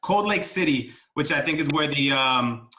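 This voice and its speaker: A man's voice: fairly high pitch, expressive, moderate pace, pushed and bright, reverberant, slight background noise. A man hosting a casual sit-down interview in a pizzeria, chatty and friendly with lots of fillers. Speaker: host.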